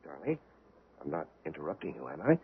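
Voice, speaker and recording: A man's voice: a bass voice, sing-song, a little fast, gravelly, full character voice. A man playing an anxious, loving, protective husband in a 1970s radio drama, with an intense, pleading delivery. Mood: gentle, hesitant. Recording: muffled old radio broadcast.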